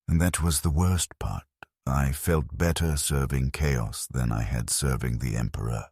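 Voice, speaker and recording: A man narrating a scripted, atmospheric story in a somber, grave, gravelly voice. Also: very deep voice, steady tone, a little slow, a little mellow, no echo, clean studio sound.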